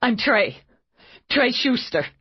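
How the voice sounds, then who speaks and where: A woman's voice: a little high, sing-song, slow, slightly rough, dry recording, pitched above the performer's own voice, heavily acted. A woman voicing a panicked young man in his late twenties who is crying and whose words tumble over each other. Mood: frantic. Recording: dull lo-fi audio.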